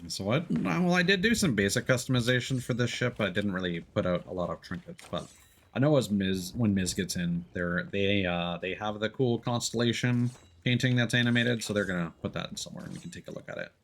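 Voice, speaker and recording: A man's voice: on the low side, fairly quick, fairly bright tone. A man hosting a casual gaming livestream, rambling and thinking aloud as he plays. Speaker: livestream host playing a game. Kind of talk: casual gaming stream chat.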